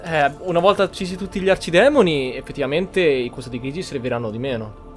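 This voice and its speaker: A man's voice: a little high, animated, racing. An Italian man giving casual commentary as he streams a game. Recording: game music behind the voice.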